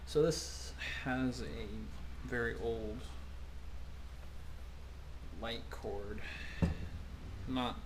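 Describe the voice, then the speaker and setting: A man's voice: mid-range voice, steady tone, extremely slow, long pauses, noisy background. A man giving a casual, unscripted walkthrough at a workbench, hesitant and thinking aloud as he examines things. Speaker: hobbyist electronics restorer presenting a video. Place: indoors at a workbench.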